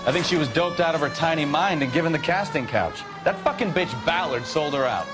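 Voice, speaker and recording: An actor playing a blunt, hard-boiled 1940s police detective in a crime video game. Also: male voice, on the high side, expressive, a little fast, natural voice. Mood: bitter. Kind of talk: video game cutscene acting, crime noir. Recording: music and sirens behind.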